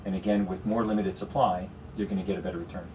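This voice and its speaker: A man's voice: deep voice, level tone, fast talker, warm tone, recorded from a distance, a little hiss or hum, low-quality recording. A man with a Canadian accent, a guest strategist explaining investments in a radio studio conversation, with many "you know"s. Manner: explanatory, talks in long runs with frequent "you know".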